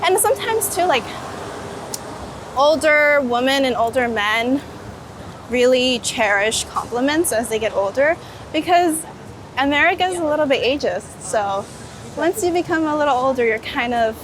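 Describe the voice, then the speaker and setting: Chatty high-voiced woman on a noisy street. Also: lively intonation, moderate pace. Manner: informal, chatty, laughs easily. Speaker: street photographer, guest. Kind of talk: casual on-the-street interview chat. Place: outdoors on a city street. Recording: noisy city street with traffic.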